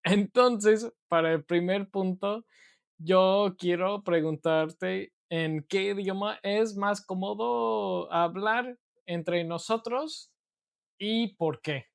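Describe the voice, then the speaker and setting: An American man whose first language is English, co-hosting a casual podcast as a Spanish learner and speaking carefully with pauses. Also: high-pitched, vocal fry, clear, resonant tone. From United States. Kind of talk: casual conversational podcast chat.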